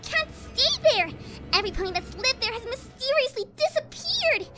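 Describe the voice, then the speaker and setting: An extremely high-pitched young cartoon pony mare, bursts with melodrama. The delivery is breathless and excitable, leaping widely in pitch, like a spooky storybook tale told at full tilt. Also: female voice, moderate pace, soft background music, full character voice. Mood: excited, spooky. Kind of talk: dramatized story reading.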